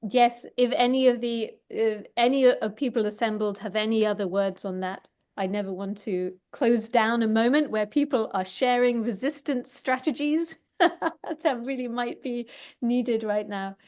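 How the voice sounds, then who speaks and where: A woman hosting a talk and discussion, speaking thoughtfully and informally with halting, hesitant phrasing. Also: on the high side, steady tone, a little mellow. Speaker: host and moderator of an online talk.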